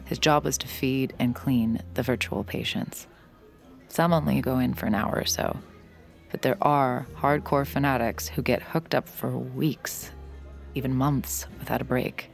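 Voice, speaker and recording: A woman's voice: rumbling low, steady tone, a little slow, creaky, faint music behind, heavily acted. An actress playing a tired, sardonic bartender who dryly narrates her own story in an audio drama. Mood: dry, weary narration. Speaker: narrator and lead character. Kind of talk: audio fiction drama with first-person narration.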